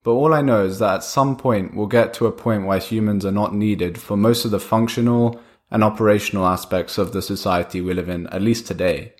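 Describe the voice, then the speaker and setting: A young man hosting his own podcast, talking through ideas in a relaxed, conversational way while he has a cold. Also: fairly low pitch, a little fast, hardly any pauses. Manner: conversational, thinking aloud with fillers and restarts. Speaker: podcast host. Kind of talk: casual solo podcast monologue.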